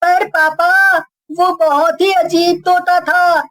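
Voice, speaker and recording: Woman as a very high-pitched earnest little boy. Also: little pitch movement, slow, a bit bright, heavily acted. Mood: earnest, worried. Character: observant, earnest young boy talking to father. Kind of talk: Hindi cartoon character acting.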